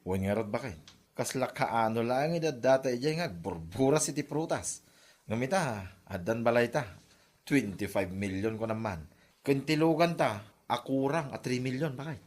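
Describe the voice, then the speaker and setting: A man's voice: medium pitch, expressive, normal speed, a little mellow, lightly acted. A man with an Ilocano accent playing an easygoing, boastful husband in a comedy drama.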